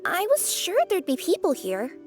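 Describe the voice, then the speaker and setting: A woman voicing a feisty, blunt but helpful female cat courier in animated game dialogue. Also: very high-pitched, normal speed, soft background music, exaggerated voice. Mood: curious, upbeat.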